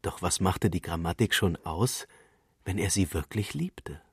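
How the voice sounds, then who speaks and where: A man's voice: low voice, lively intonation, unhurried, husky, no room sound, clean studio sound. A man reading literary prose as a scripted narrator.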